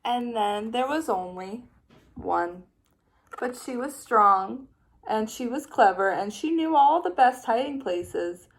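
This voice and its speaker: A woman teacher reading a children's picture book aloud with expressive, playful storytelling. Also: fairly high pitch, slow, slight background noise, rough recording.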